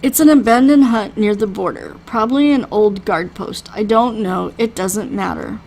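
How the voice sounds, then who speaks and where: A woman voicing a calm, matter-of-fact male healer in a visual novel, measured and dryly reassuring. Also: mid-range voice, lightly acted, low-quality recording. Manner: measured, dry, explanatory. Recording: faint background noise.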